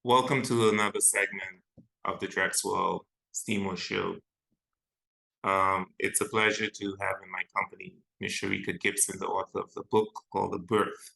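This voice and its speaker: Male talk show host with a very low, gravelly voice; formal introductions, quick interjections. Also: flat delivery, sharp tone, poor audio. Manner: formal welcome, frequent short interjections. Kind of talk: interview hosting.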